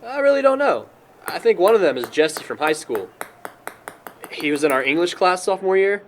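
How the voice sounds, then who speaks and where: Man acting an easygoing young guy, lively higher voice. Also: slight background noise, natural voice. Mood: chatty. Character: easygoing young man, bantering party host. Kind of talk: scripted amateur audio drama dialogue.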